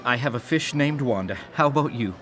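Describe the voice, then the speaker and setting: A man's voice: medium pitch, expressive, brisk, fairly bright tone, close to an everyday voice. An actor in a radio drama playing a shy, earnest man of faith, hesitant and awkward on a first date.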